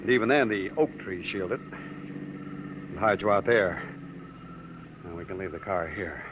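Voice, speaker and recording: A man playing a calm, secretive man leading a fugitive to a hideout, in 1950s radio drama style, steady and low-voiced. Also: normal speed, close to an everyday voice. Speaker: radio actor playing Mister Wade. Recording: dull old radio broadcast with hiss.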